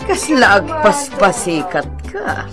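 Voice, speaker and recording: A woman's voice: mid-range voice, very animated, slow pace, music in the background. An actress in an Ilocano radio drama playing a teasing, gossipy woman friend with a drawling delivery.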